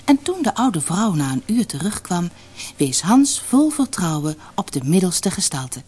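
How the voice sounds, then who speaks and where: Woman narrator, fairy-tale audiobook, expressive, low-pitched, very varied intonation. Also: a little slow, slightly rough. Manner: expressive, dramatic storytelling.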